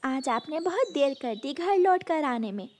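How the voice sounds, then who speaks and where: A woman plays a mother bird in a children's cartoon. Her high voice moves freely up and down, caring and concerned for the family, with slight noise behind it. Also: expressive, unhurried. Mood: gentle.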